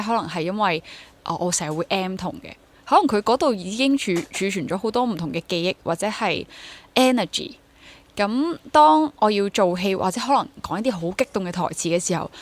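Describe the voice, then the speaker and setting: A woman in her twenties with a Hong Kong Cantonese accent, talking casually and reflectively as an interview guest and mixing in English words. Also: mid-range voice. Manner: mixes English words into speech. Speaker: interview guest, stage actor and drama teacher.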